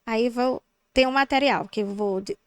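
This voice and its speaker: A middle-aged woman speaks as a guest on a podcast, teasing and telling stories with plenty of life. Her voice sits high and sounds smooth and clear. It is recorded dry and close in a studio. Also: normal speed, dry recording. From Teresina, Brazil. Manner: animated storyteller, teasing. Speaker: English teacher, guest. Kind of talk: casual podcast chat.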